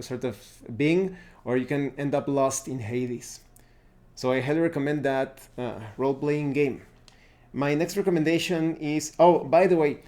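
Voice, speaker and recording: Mellow-voiced man giving a casual review. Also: medium pitch. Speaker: tabletop game review host. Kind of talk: casual spoken review with recommendations.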